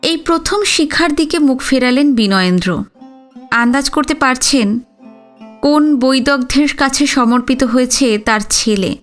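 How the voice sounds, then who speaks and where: Female storyteller, high-pitched, scripted audio story narration over faint music. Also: expressive, a little slow.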